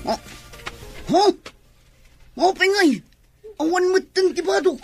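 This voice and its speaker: An actress playing an anxious young bride who questions and reproaches, in an Ilocano radio drama. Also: female voice, extremely high pitch, animated, slow pace, faint music behind, full character voice. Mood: upset, reproachful.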